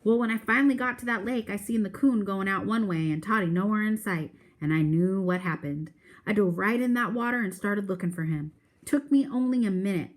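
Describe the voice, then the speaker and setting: A woman's voice: medium pitch, brisk, lightly acted. A proud old Southern country man , read by a woman, spins a folksy yarn. The telling is drawn out and earnest, in storybook read-aloud style. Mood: earnest storytelling.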